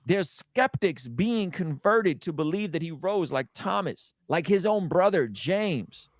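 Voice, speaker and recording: Emphatic man with a slightly high voice. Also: animated, measured pace, no echo, clean studio sound. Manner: emphatic, repeats phrases for weight. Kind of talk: impassioned conversational preaching.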